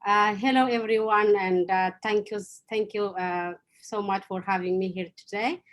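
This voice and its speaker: A woman's voice: medium pitch, fairly even intonation, normal speed. A woman from Ethiopia in her thirties, telling her own story on a video call, with many fillers and restarts. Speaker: guest speaker. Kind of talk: personal story talk.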